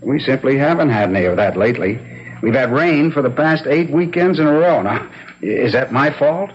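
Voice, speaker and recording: A man's voice: mid-range voice, animated, moderate pace, lightly acted. An actor playing an earnest, proud, defensive salesman in a 1970s radio drama, speaking emphatically. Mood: indignant, defensive. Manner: emphatic, protesting. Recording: dull 1970s radio broadcast.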